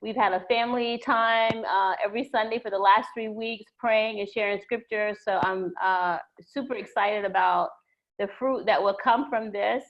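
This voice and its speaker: A woman from the United States, a church member and math teacher, sharing casually on a video call in a soft, hesitant way with many fillers. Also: mid-range voice, steady tone, normal speed. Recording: muffled lo-fi video call audio.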